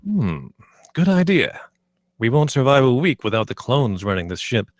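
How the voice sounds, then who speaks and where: Male actor as blunt spaceship captain, irritable, bossy, very animated pitch, dry close sound, sci-fi comedy. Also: normal speed, no echo, lightly acted. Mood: commanding, irritable. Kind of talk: live table read of sci-fi comedy script.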